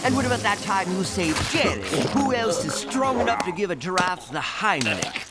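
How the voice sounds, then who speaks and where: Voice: mid-range voice, big pitch swings, moderate pace, over loud music, close to an everyday voice. A performer voicing a sensible, anxious talking animal in a cartoon, articulate and fussy, forever cautioning. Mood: reassuring.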